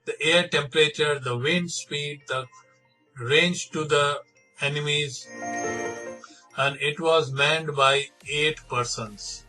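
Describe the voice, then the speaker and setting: A man's voice: a little high, fairly even intonation, low-quality recording. A man with an Indian accent narrating documentary material, mixing scripted reading with conversational explanation. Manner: switches between measured reading and rapid explaining. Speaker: presenter retelling a documentary. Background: background music.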